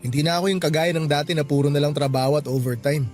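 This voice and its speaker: Actor as friendly, considerate Filipino male office worker, late twenties, higher register, radio drama over soft music. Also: fairly even intonation, quick pace, natural voice. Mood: friendly, attentive. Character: friendly, considerate 29-year-old male bank employee.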